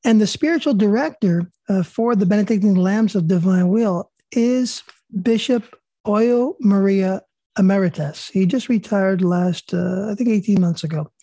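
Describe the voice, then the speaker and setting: A woman hosts a small online faith group over a video call, moving from steady, measured recited prayer to loose, filler-filled chat. Her voice is mellow and a little husky, and the sound is close and dry, with no room around it. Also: mid-range voice, no echo. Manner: calm and measured, with many fillers and restarts in chat. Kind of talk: leading prayers, then casual group chat.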